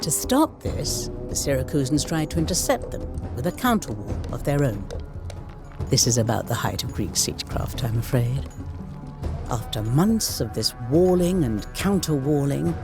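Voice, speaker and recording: A man playing a wry, weary storyteller who narrates ancient wars in a dramatic, measured way for a game cutscene. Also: medium pitch, sing-song. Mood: grave, wry. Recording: music behind the voice.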